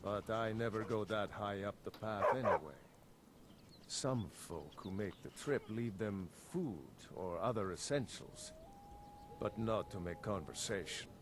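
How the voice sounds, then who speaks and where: A calm male hunter in a video game, with a lowish voice that speaks plainly and without hurry. The game audio carries a clear layer of background noise. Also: measured pace.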